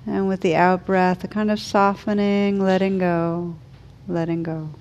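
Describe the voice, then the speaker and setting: A woman leading a guided meditation as a teacher, speaking slowly and soothingly with gentle pauses. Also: lowish voice, flat delivery, muffled recording.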